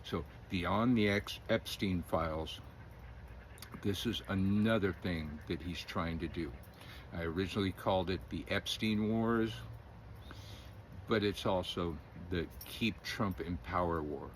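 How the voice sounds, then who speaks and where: Opinionated middle-aged man, low voice. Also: unhurried, slight background noise. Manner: rambling, opinionated, chuckles often, hesitant fillers. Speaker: independent news commentator. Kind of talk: casual political commentary.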